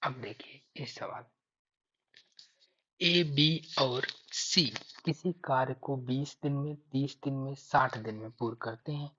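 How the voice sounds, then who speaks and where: A man's voice: medium pitch, slow pace, pushed and bright, slightly rough. A man teaching maths step by step for exam preparation, thinking aloud and repeating key figures. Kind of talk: step-by-step lesson explanation. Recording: dull lo-fi microphone sound.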